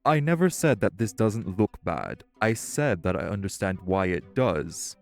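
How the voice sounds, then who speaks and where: A man playing a composed, self-assured tech company boss in an audio roleplay, speaking in a polished, measured, articulate way. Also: lowish voice, very animated, gravelly, faint music behind, heavily acted. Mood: firm reassurance. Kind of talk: audio roleplay acting, one-sided dialogue.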